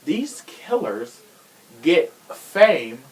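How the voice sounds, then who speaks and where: A man's voice: on the high side, very animated, extremely slow, low-quality recording. A man hosting a casual true crime podcast, talking in a sassy, frank way with profane slang. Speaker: podcast host.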